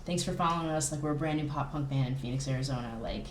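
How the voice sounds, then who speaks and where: A woman with a distinctly low voice chats casually as an interview guest. The room rings with echo and carries a faint noise. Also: very fast. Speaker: band member, interview guest. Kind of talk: casual chat.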